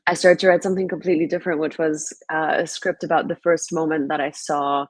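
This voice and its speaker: A woman's voice: low voice, level tone, fast, dry recording. A young woman from Lebanon, a filmmaker answering interview questions in a reflective way, with many fillers and restarts. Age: young adult. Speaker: filmmaker guest.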